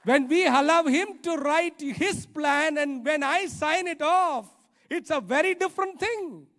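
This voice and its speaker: A middle-aged male preacher delivers a sermon in a high-pitched voice. He is emphatic, repeating key phrases and checking in with short rhetorical questions. He speaks into a handheld microphone on an auditorium stage. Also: a little slow, pushed and bright, a faint crowd. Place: large church auditorium stage, indoors.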